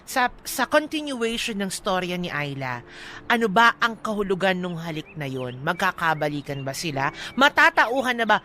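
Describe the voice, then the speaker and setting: Bright-voiced Filipina radio co-host. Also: female voice, on the low side, big pitch swings, moderate pace, a little hiss or hum. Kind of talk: lively radio banter and drama narration.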